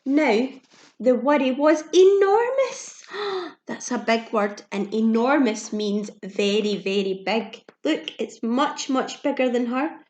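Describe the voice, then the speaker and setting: A woman's voice: fairly high pitch, slow pace. A woman reading a children's storybook aloud like a teacher, expressively and simply, with questions and asides to her young listeners. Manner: expressive, simple child-directed speech with audible gasps and questions. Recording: echoey room sound.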